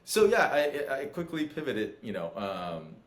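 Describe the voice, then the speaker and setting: A man's voice: medium pitch, wildly swooping pitch, unhurried. A middle-aged man, a guest from Los Angeles, chatting upbeat and casual in an interview. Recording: echoey room.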